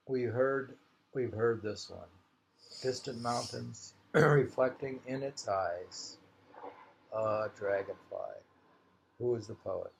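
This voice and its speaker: A man reading short poems in an unhurried, halting way, repeating lines slowly and musing on them informally in a dull, lo-fi home recording. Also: mid-range voice, vocal fry, slight background noise. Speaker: reader of a poetry anthology.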